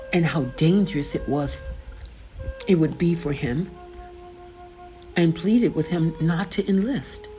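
An older woman reading her own faith memoir aloud, slowly and deliberately, pausing to gloss the names in scripture. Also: sits low, mellow, low-quality recording. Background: background music.

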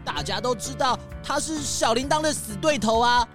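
A woman's voice: a little high, lively intonation, normal speed, over music, close to an everyday voice. A woman playing a tattling, insistent animal schoolchild in a children's radio drama. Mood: gossipy, accusing.

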